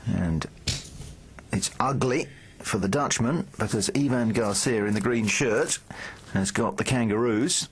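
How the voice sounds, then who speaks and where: A man commentating on a poker final in a measured, wry way. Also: on the low side, slight background noise. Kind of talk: live commentary.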